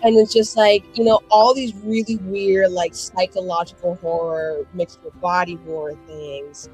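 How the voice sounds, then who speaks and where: A woman's voice: lowish voice, level tone, a little slow, a little mellow, low-quality recording. A woman telling a story in a loose, conversational, unscripted way, often peppering it with "like". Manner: loose, conversational, repeatedly says "like". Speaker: tabletop roleplaying show player. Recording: music playing behind the voice. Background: music.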